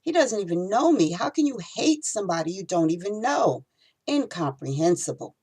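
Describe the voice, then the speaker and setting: Woman as a frightened streetwise cab driver, male, indignant, pitched up, storybook character. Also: very animated, moderate pace, a little mellow, slightly rough. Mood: bewildered. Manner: indignant, profane, pleading.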